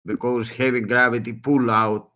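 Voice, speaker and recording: A man's voice: medium pitch, flat delivery, moderate pace, pushed and bright. An older man with a Greek accent, a native Greek speaker, making an urgent public appeal in broken English with short repeated phrases. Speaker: self-made video appellant.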